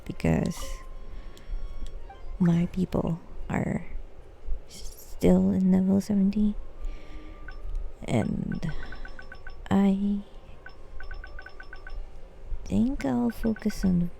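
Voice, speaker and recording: Creaky-voiced woman game streamer, slow and hesitant. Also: medium pitch, steady tone, mellow, soft background music. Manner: hesitant, rambling, thinking aloud. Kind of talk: casual live stream chat while gaming.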